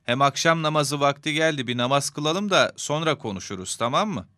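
A crisp, bright voice of a middle-aged father in a children's cartoon, recorded dry. He is kind, patient and devout, guiding his child. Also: a little high, expressive, fairly quick, no room sound. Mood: calm, concerned.

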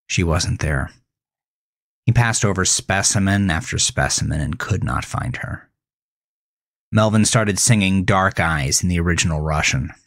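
A man narrating a scripted horror thriller in a dramatic, deliberate manner. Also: deep voice, a bit bright, dry recording, clean studio sound.

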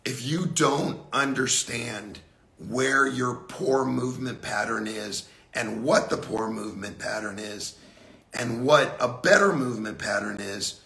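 A middle-aged man with a gravelly, raspy voice, a bit hoarse from a cold, giving a casual, blunt golf lesson on a livestream from an indoor simulator room. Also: medium pitch, pushed and bright, echoey room, slight background noise. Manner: blunt, casual, drawn-out emphasis. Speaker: golf instructor.

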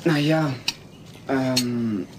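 A man's voice: mid-range voice, extremely slow, background noise. An actor playing an evasive teenage boy from next door, hesitant and casual, in a sitcom audio play. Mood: cagey.

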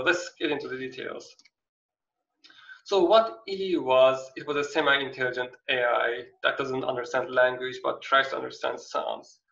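Turkish-accented man, gravelly, on a roomy call. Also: medium pitch, a bit bright. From Turkey. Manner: halting, heavy on fillers like "like, you know". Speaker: visiting artist lecturer. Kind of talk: casual presentation over video call. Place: small room at home. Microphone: laptop webcam mic on video call. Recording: roomy, distant, dull lo-fi video call audio.

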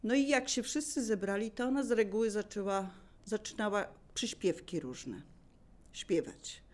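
A woman casually sharing memories of family life, in the relaxed style of oral history. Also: medium pitch, normal speed. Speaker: local resident sharing memories.

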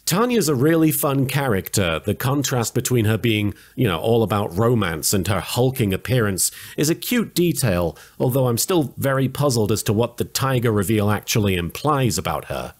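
A man hosting an anime recap, narrating scripted humor in a sarcastic, mock-serious way. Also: lowish voice. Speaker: host of an anime recap series.

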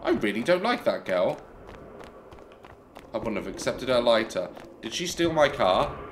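A man's voice: mid-range voice, big pitch swings, measured pace, slight background noise. A man in his thirties commenting jokily on a horror game, yelping at scares, on a headset mic. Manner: jokey, yelps and shouts at scares. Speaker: gaming youtuber.

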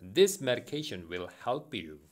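A man's voice: medium pitch, very animated. Korean-accented man reading phrases aloud. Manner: careful, deliberate read-aloud, slightly halting. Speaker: phone English practice partner. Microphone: phone call.

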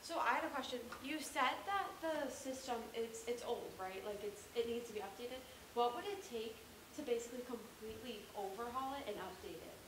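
A woman's voice: a little high, a little fast. A young woman in the audience asking a question casually, with many likes. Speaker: audience member. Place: large library room. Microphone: handheld mic. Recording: roomy, distant, with clear hiss.

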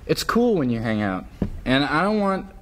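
A man's voice: a little high, big pitch swings, normal speed, fairly bright tone, a little hiss or hum. A young man reading the part of an easygoing, hesitant teenage boyfriend at a script table read. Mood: casual. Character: mellow laid-back teen boyfriend. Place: conference room at a long table.

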